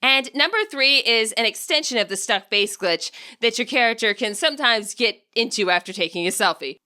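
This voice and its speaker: A woman narrates a scripted story in an expressive audiobook style. Her voice is fairly high and bright. Her intonation moves around a lot, keeping the reading animated. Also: fairly quick. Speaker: audiobook narrator and fan fiction author.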